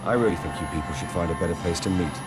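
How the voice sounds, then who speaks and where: A man's voice: low-pitched, racing, natural voice. A man playing a terse, defiant spy in a video game, dry and curt. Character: terse, defiant male British spy, cool under fire. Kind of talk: video game cutscene acting.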